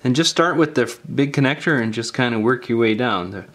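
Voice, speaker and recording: A man's voice: medium pitch, lively intonation, fairly quick. A man giving casual, step-by-step hands-on instructions in a plain-spoken, informal way. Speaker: repair tutorial host.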